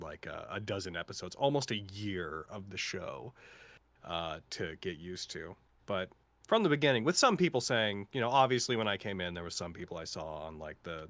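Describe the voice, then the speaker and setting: Male voice actor, low register, lively range, dry close sound, casual expressive cast chat. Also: dry recording. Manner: talkative, animated, expressive. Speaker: voice actor in an audio drama.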